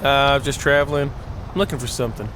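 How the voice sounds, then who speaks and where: A man's voice: mid-range voice, steady tone, fast, a bit bright, a little hiss or hum, natural voice. A man voicing a practical, mild-mannered adult traveler in a visual novel game. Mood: plain, reassuring.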